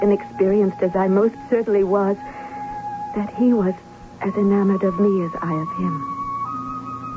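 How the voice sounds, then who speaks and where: A lovestruck, easily wounded young bride from a radio play. She speaks at a middle pitch with romantic earnestness. The sound is thin old radio, with music behind her. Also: phone-quality sound. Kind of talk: old radio drama acting and storytelling.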